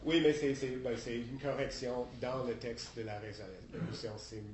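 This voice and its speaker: A man's voice: medium pitch, normal speed, a crowd in the background. A man speaks in an echoey hall with people murmuring around him. He is a council member fielding questions at a public meeting, congested with a cold, and he halts and repeats himself as he explains.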